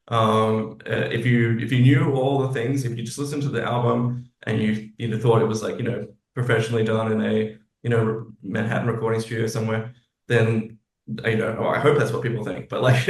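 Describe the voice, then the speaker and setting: A man chatting casually as a guest in an interview. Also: medium pitch. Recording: echoey room, distant from the mic.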